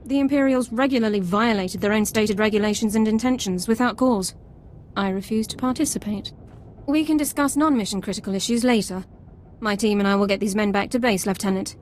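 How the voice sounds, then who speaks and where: A woman's voice: mid-range voice, a little fast, darkish tone, a little hiss or hum, close to an everyday voice. An actress playing a strict, formal female sergeant who speaks in clipped, precise military terms. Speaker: squad sergeant. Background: music.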